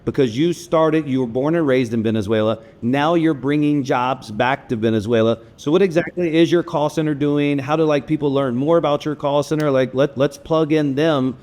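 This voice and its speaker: A man hosting a podcast, talking casually and warmly praising his guest, with loose, run-on phrasing. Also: a little high, fairly even intonation, moderate pace, few pauses. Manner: enthusiastic, complimentary, loose phrasing. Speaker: podcast host.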